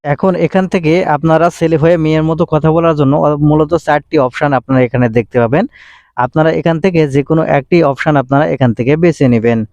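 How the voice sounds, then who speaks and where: A man's voice: fairly high pitch, fairly even intonation, fast, darkish tone, no room sound, muffled recording. A man presenting a tech tutorial, giving step-by-step instructions with repetitive phrasing. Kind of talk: step-by-step screen tutorial narration.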